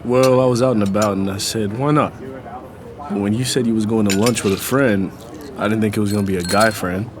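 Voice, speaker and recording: A man with a fairly low voice acted as a suspicious boyfriend. He speaks casually but curtly in an audio drama, keeping his guard up. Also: steady tone, brisk, a crowd in the background, natural voice. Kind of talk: dramatized audio fiction dialogue.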